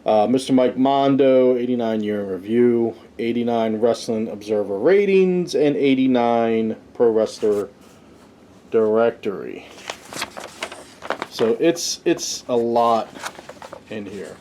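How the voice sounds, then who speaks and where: A man's voice: medium pitch, slow pace, warm tone. A man hosting a podcast with casual, offhand, unscripted commentary. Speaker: podcast host, wrestling fan reviewer.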